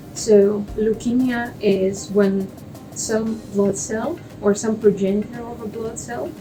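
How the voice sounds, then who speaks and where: Female research guest, young adult, from Russia, native Russian speaker, soft mellow tone, explanatory, a little off the mic. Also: mid-range voice, distant mic, rough recording. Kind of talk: explanatory interview answers for a lay audience.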